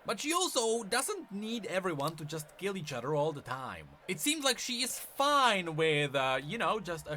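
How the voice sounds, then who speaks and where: A man's voice: a little high, sharp tone, a little hiss or hum. A man narrating casual video commentary on game lore, emphatic and opinionated in his delivery.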